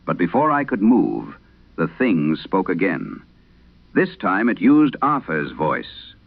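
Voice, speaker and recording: A man performing as a late-night radio host, solemnly reading an eerie letter in measured, deliberate phrases through an old radio filter, in a vintage horror drama style. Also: low voice, wildly swooping pitch, creaky, heavily acted. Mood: ominous, tense. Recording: tinny band-limited old radio sound.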